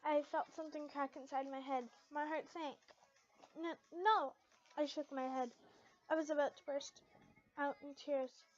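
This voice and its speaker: A woman's voice: high voice, little pitch movement, normal speed, a little mellow, dull audio. A woman reading a story aloud in a dramatic amateur style, sometimes stumbling over words and correcting herself. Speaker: fan fiction reader.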